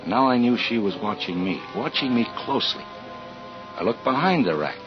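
An actor playing a suspicious, uneasy husband and father who narrates in a tense, halting, deliberate way in 1940s radio style. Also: mid-range voice, expressive, close to an everyday voice. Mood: brooding, tense. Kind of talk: 1940s radio acting with first-person narration. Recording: dull vintage 1940s radio with music.

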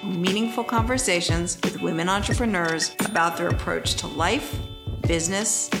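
A woman hosting a podcast interview, reading a scripted intro and then chatting with enthusiastic quick interjections. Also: fairly low pitch, vocal fry, over loud music. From United States. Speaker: podcast host. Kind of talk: conversational interview.

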